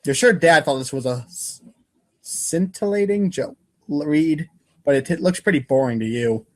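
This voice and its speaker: A man's voice: fairly high pitch, lively intonation, moderate pace. A man giving a wry, theatrical dramatic reading as a podcast narrator, now and then tripping over long words.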